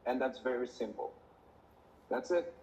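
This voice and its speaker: Male interviewee, unhurried and plain-spoken, slow delivery, muffled lo-fi sound with faint noise. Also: mid-range voice, sing-song, creaky, darkish tone. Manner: simple step-by-step sentences. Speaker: interviewee, kitchen worker.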